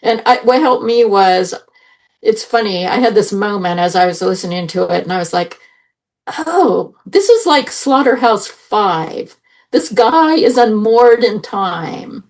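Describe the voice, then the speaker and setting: Medium-pitched woman on a podcast panel. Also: lively intonation, a little slow. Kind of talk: casual review discussion.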